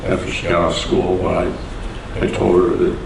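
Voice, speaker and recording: Older man, very deep gravelly voice, plainspoken storyteller, echoey hall, distant mic, background noise. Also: monotone, normal speed. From Door County, Wisconsin. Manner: plainspoken, chuckles at his own stories. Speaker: interview guest. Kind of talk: unhurried storytelling. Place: community hall with audience. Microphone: far from the mic. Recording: echoey hall from far off, noisy.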